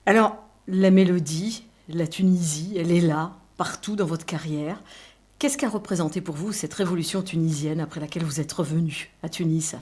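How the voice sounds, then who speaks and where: Woman radio host, lowish voice. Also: normal speed, darkish tone, slightly rough. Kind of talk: radio interview questions.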